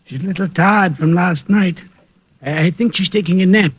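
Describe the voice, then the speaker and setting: A man playing a prim, high-voiced butler. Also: moderate pace, slight background noise, close to an everyday voice. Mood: deferential. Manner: deferential, prim. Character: polite fussy male butler. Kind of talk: 1950s radio acting. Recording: dull old radio recording.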